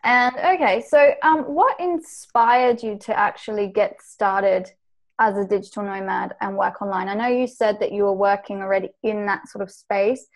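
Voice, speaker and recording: A woman's voice: mid-range voice, steady tone, moderate pace. A woman hosting a casual chat interview, speaking informally and in an affirming way. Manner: informal, affirming, frequent short acknowledgements. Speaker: interviewer, host.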